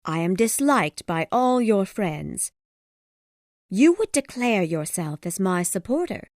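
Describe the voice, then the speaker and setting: Man doing anxious sorrowful young woman, pleading, high and swooping, slow. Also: big pitch swings, no room sound, studio quality, full character voice. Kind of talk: audiobook character voice.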